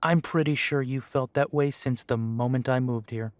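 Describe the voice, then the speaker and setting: A man's voice: mid-range voice, fairly even intonation, moderate pace, no echo, close to an everyday voice. An actor playing a defiant young male werewolf who answers the council with dry, mocking politeness. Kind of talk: audio drama acting.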